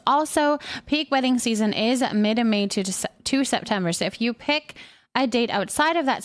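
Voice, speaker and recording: High-voiced woman chatting on a livestream. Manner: chatty, reads comments aloud and muses on them. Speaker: live streamer reading viewer comments. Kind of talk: casual livestream chat.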